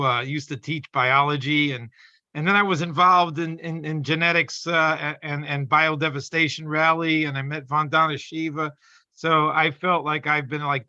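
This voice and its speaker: A middle-aged man talking casually and earnestly as an open mic poet, rambling and halting as he explains himself. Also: a little high, sharp tone, no echo. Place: online video call. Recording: dull lo-fi call audio.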